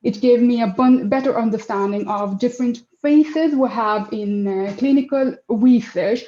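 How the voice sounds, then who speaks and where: A woman's voice: on the high side, moderate pace, dark tone, roomy sound, dull audio. A woman in her twenties, originally from Afghanistan, answering interview questions in a hesitant way with many fillers and restarts. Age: 20s. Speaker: project manager, interview guest.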